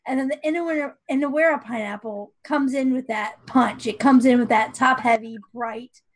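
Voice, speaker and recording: High-voiced woman explaining casually. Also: moderate pace. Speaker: panelist presenting recipes. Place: bedroom at home. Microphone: video call.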